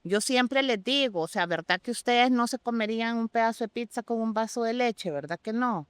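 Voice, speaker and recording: A woman's voice: fairly high pitch, moderate pace, smooth, no echo, clean studio sound. A woman from Costa Rica, speaking expressively and with enthusiasm as an expert guest in a conversational interview. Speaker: guest sommelier.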